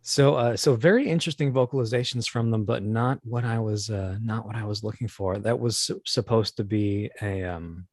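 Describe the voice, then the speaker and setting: A man speaking casually as an interview guest, talking about his creative work in a halting, think-aloud way. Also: lowish voice, darkish tone, no room sound, studio quality. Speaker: guest, audio drama producer and voice actor.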